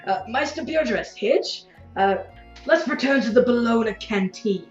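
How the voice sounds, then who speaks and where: A woman's voice: fairly high pitch, expressive, unhurried, roomy sound, muffled recording, faint music behind. A woman playing a grandiose, effusively polite but unrepentant revolutionary zealot in an audio drama, speaking in formal, stilted phrases. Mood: grave, guarded. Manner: formal, stilted, refers to self as "one".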